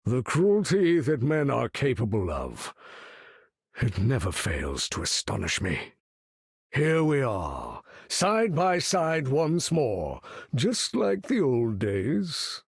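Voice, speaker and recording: An actor playing a proud, menacing mutant leader in a video game, speaking in a measured, theatrical, grandiose way with a husky voice. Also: male voice, mid-range voice, huge pitch swings, no room sound, clean studio sound, heavily acted. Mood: commanding, grave. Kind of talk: video game character voice lines.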